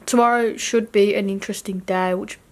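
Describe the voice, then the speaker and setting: A woman's voice: mid-range voice, fairly even intonation, fairly quick. Young woman vlogger, sweary and rambling, handheld camera in a hotel room. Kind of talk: casual vlog chat. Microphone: phone or camera at arm's length.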